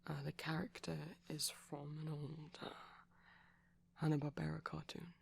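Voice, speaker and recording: A man speaking softly and breathily in an ASMR boyfriend roleplay as a shy, gentle vampire, hesitant and often slipping into whispers. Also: a little high, monotone, moderate pace, vocal fry, slight background noise. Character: shy, gentle pastel vampire boyfriend showing off plush toys.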